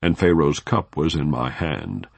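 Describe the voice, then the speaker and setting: Deep, solemn male scripture reader. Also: sing-song, creaky, no room sound. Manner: solemn, measured, formal delivery. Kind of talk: unhurried scripted scripture reading.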